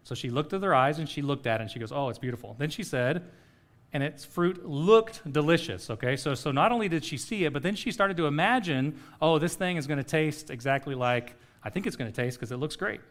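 A man's voice: fairly high pitch, expressive, fairly quick, fairly bright tone. A middle-aged man preaching a sermon in a conversational way, often checking in with "okay?". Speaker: pastor.

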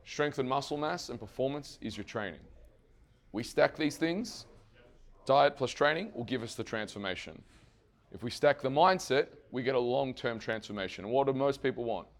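Man, hoarse voice, fitness coach presenting live, energetic, quizzes the audience, casual swearing. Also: medium pitch, moderate pace. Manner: energetic, asks the audience questions and answers them himself. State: hoarse, losing his voice.

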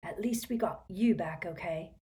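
A woman's voice: lowish voice, normal speed, warm tone, lightly acted. Woman voicing a cheeky injured young man, murmuring. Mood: teasing, tired. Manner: murmuring, faint, mischievous.

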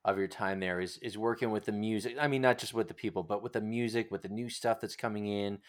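A man's voice: fairly low pitch, steady tone, quick pace. A man hosting a casual interview, speaking sympathetically and feeling his way thoughtfully through his phrasing. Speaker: interviewer, podcast host.

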